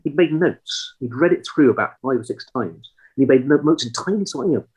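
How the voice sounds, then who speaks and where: A man, an actor and writer, telling an animated anecdote as a podcast guest. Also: mid-range voice, fairly quick, husky. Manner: animated storyteller, acts out quotes. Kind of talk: interview anecdote.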